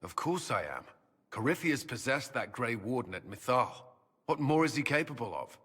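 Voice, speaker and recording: An actor playing a guarded, devout military commander in a video game, speaking in an earnest, measured, solemn way. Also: male voice, mid-range voice, very animated, pushed and bright, slightly rough, full character voice. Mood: worried, urgent. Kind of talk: dramatic video game dialogue.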